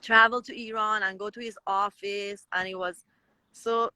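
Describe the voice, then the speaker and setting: Persian-accented woman, bright and halting. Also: medium pitch, fairly even intonation, no room sound, low-quality recording. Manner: halting, many restarts and fillers. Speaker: interview guest. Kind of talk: conversational interview.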